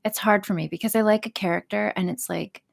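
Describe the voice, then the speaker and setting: A woman's voice: mid-range voice, fairly even intonation, fast, gravelly. A woman chatting casually as a podcast guest, self-deprecating and swearing freely. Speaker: podcast guest, audio creator.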